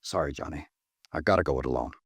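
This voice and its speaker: Quick-talking stubborn American newspaperman. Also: a bass voice, fairly bright tone, husky, no echo, studio quality, exaggerated voice. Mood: determined. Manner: wry, determined. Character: stubborn, world-weary newspaper reporter, man. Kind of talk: 1950s radio drama acting. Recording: vintage 1950s radio recording.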